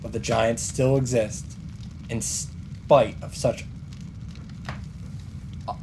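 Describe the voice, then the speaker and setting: A man with a mid-pitched voice reads story narration aloud for a game playthrough. He is deliberate and dramatic with his pauses, and clear background noise from game ambience sits behind him. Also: fairly even intonation. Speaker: let's player narrator. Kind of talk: dramatic read-aloud of visual novel narration.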